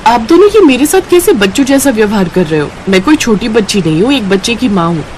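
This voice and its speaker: Girl voicing stubborn young mom in a cartoon, highish voice, impatient, bit of noise behind. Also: very animated, fast talker, a little mellow. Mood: defiant. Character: headstrong young mother and daughter-in-law, impatient, stubborn. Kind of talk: Hindi cartoon story voice acting.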